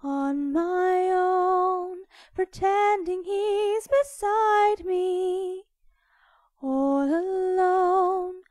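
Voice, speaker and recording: High-voiced woman singing a cappella, unhurried. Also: a little mellow, no echo, studio quality. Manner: casual, informal, unaccompanied singing. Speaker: amateur singer and fandubber. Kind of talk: a cappella singing of songs from memory.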